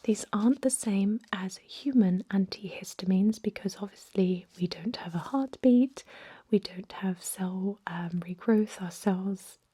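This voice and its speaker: A woman playing a calm, reassuring ancient vampire medic in a whispered ASMR roleplay, speaking gently in a breathy whisper. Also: mid-range voice, no echo. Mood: soothing, reassuring. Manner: gentle, unhurried, hesitant with soft explanations. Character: calm, reassuring thousand-year-old female vampire medic.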